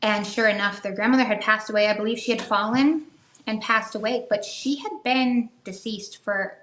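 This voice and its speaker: A woman's voice: medium pitch, fairly even intonation, normal speed, a bit bright. A woman co-hosting a podcast, telling stories in a casual, chatty, rambling way. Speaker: podcast co-host. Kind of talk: casual storytelling chat about the paranormal. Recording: echoey room.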